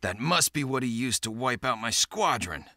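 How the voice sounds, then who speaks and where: A brash, animated young pirate captain. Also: male voice, medium pitch, moderate pace. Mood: reflective. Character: cocky, vengeful young male pirate captain. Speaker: video game protagonist and narrator. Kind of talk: dramatic game cutscene acting and narration.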